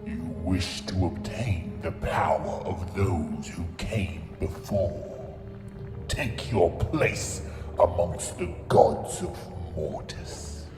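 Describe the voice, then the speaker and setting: A man playing an ancient, menacing alien Sith master in an audio drama, scornful and commanding in manner. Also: low voice. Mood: ominous, commanding. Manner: slow, ominous, commanding. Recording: echoey hall, loud music underneath.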